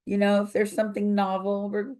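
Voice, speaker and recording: An older woman, a company founder, speaking slowly and thoughtfully over a video call with a froggy voice from recent illness, pausing often with fillers. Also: mid-range voice, completely flat delivery, a little mellow. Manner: slow, thoughtful, many pauses and fillers. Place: home office with bookshelves.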